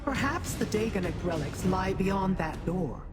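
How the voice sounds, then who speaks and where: An actress playing a lofty otherworldly being in a fantasy video game, formal and condescending toward mortals. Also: female voice, mid-range voice, animated, unhurried, music in the background, close to an everyday voice. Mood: curious, imperious.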